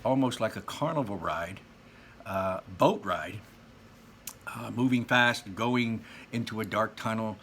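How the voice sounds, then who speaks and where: A man's voice: fairly low pitch, unhurried, fairly bright tone, a little hiss or hum. A man reading a children's book aloud as a storyteller, with dramatic emphasis on descriptive words and casual asides.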